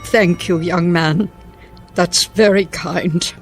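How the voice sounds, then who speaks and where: Elderly wife, anxious, tender, careful, very slow pace, radio drama. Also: female voice, fairly low pitch, fairly even intonation, faint music behind. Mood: gentle. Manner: gentle, fretful, careful.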